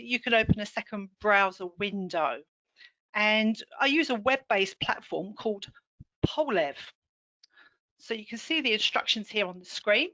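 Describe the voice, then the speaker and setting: A woman trainer with a hoarse, croaky throat, leading an online teaching session in an upbeat, encouraging way. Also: medium pitch, sharp tone, poor audio. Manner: upbeat, encouraging, clear explaining.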